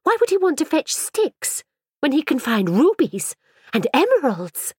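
A woman's voice: sits high, wildly swooping pitch, unhurried, no echo, studio quality, voice pushed up, full character voice. A woman reading aloud and voicing a haughty little girl who believes she is a princess, lofty and indignant.

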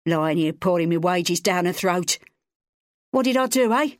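A woman voicing a drunk, bitter working-class father who rants and yells. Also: lowish voice, normal speed, no echo, studio quality, close to an everyday voice. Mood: yelling. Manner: yelling, slurring, coarse.

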